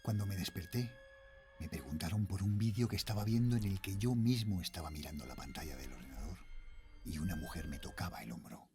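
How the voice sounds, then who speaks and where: Low male voice, haunted middle-aged guard, horror audio fiction, grave, expressive swings. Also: over loud music. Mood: haunted, hushed dread. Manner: grave, brooding, dropping into slow hushed phrases. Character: haunted middle-aged father, shaken security guard narrating his horror. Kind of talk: dramatic first-person horror audio fiction narration.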